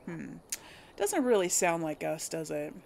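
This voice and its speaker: A woman's voice: deep voice, sing-song, a little slow, vocal fry, darkish tone. A woman doing casual game commentary, thinking aloud in a tentative way. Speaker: gaming video host and player. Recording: some background noise.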